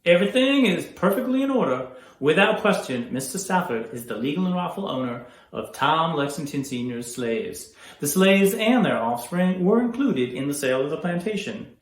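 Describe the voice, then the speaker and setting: A man's voice: fairly high pitch, expressive, normal speed, fairly bright tone. A man acting a businesslike lawyer in a period drama, with a formal, official manner. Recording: echoey room.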